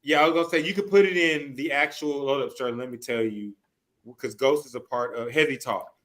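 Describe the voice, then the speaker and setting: Bright-voiced man hosting a sports podcast. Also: mid-range voice, a little fast. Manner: slangy, profane. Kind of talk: casual sports chat.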